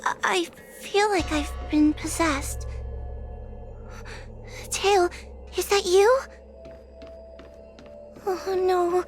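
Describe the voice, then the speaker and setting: A woman's voice: very high voice, extremely slow, long pauses, full character voice. A woman voicing a timid, anxious, lonely young fox girl in a video game, hesitant and plaintive. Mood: confused, frightened. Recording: music under the voice.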